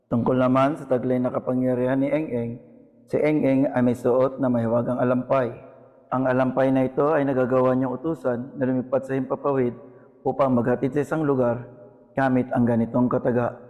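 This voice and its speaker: Filipino guy doing a scripted explainer voiceover, formal and deliberate, mid pitch. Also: level tone, phone-quality sound. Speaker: video narrator. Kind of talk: scripted educational narration.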